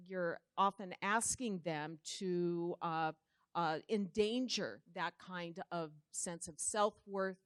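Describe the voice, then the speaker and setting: A woman speaking on a panel discussion, earnest and thoughtful, pausing often to find her words. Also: mid-range voice, dry recording, studio quality. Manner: earnest, thoughtful, with frequent pauses and fillers.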